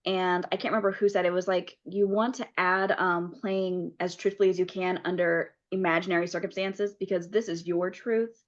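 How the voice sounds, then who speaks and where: A woman's voice: lowish voice, steady tone, moderate pace, few pauses. A woman actor talking casually in a group discussion. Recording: slightly dull, lo-fi audio.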